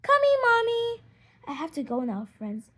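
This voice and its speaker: A woman voicing an excitable, grateful young schoolgirl in a children's storybook, with eager exclamations. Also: very high voice, measured pace, pitched above the performer's own voice, full character voice. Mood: hurried, grateful.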